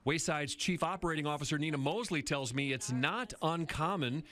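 A male television reporter delivers scripted narration in a steady, serious tone. His voice sits a little high, and the read is measured and deliberate. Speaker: investigative reporter.

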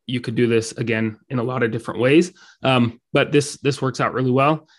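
A man's voice: mid-range voice, steady tone, fairly quick. A man walks through code on a shared screen, his throat dry from a cold. He talks casually, filling gaps with ums and restarting sentences as he types. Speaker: meetup speaker. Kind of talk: live coding talk.

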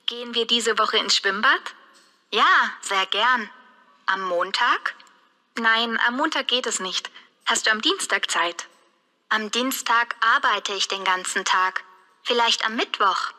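A friendly friend making plans. Her voice is very bright and slightly high, and she enunciates every word. A long reverb follows her voice. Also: takes it slowly, exaggerated voice. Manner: clearly enunciated, scripted. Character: friendly adult woman planning an outing.

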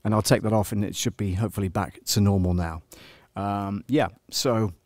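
A man in his fifties giving a casual live software tutorial, chatty and halting as he thinks aloud, on a close studio microphone. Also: on the low side, steady tone, noisy background. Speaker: live-streaming audio producer demonstrating software. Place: small home studio indoors.

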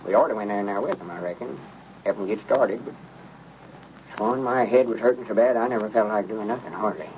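An actor playing an earnest, folksy old country storekeeper in a radio comedy, speaking homespun talk with a rural Southern accent. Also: male voice, lowish voice, fairly quick, close to an everyday voice. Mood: folksy, matter-of-fact. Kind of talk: 1930s radio comedy acting. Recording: narrow, noisy old radio recording.

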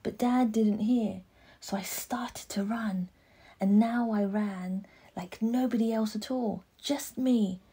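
A woman reads a children's picture book aloud at a leisurely pace. Her voice is mellow and a little husky, at a middle pitch. She tells it expressively, with measured pauses. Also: fairly even intonation. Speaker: audiobook narrator.

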